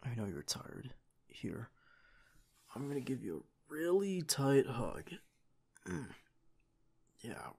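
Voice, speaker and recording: A young man playing a devoted secret agent boyfriend in an audio roleplay, with a husky, gravelly voice and an earnest manner. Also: mid-range voice, sing-song, slow pace, pauses often, warm tone, exaggerated voice. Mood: gentle, comforting. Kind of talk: ASMR boyfriend roleplay acting.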